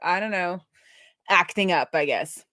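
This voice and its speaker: A woman's voice: on the low side, lively intonation, a little slow, sharp tone, no echo, clean studio sound. A woman hosting a live coding stream in casual chat, informally thinking aloud as she explains. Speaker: live stream host, web developer.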